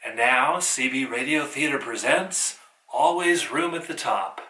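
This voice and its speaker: A man's voice: medium pitch, a little slow, recorded from a distance, noisy background. A man giving a scripted introduction as a theatre teacher hosting a show. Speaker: high school theatre teacher, host. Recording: echoey, off-mic, music behind.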